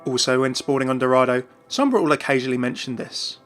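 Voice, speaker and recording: A man's voice: medium pitch, fast talker, fairly bright tone, faint music behind. A man narrating scripted commentary for an online video.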